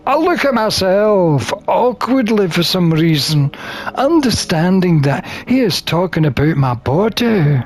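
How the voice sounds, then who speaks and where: A man reading a visual novel aloud as a gamer, expressive and theatrical, with drawn-out phrasing. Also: high voice. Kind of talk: expressive read-aloud of game text.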